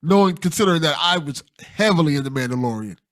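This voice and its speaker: A man's voice: a little high, lively intonation, quick pace, fairly bright tone, dry recording, clean studio sound. A man hosting a podcast in a casual, conversational style, encouraging and supportive toward his guest. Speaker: podcast host.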